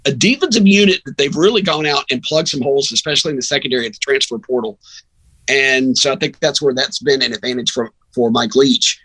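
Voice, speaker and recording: A man's voice: medium pitch, fast talker, sharp tone, slightly rough, dry recording, poor audio. A man talking casual sports analysis as a radio broadcaster guest, acting out reactions as he tells stories.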